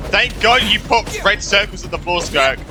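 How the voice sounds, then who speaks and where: A man streams a video game with casual running commentary. His high-ish voice is very lively in pitch and excitable, and he repeats himself as he reacts. Game music plays clearly behind him. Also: normal speed.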